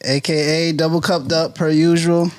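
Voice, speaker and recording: A man's voice: fairly high pitch, level tone, normal speed, clear, resonant tone. A man co-hosting a podcast, teasing and laughing. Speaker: podcast co-host. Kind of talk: casual chat.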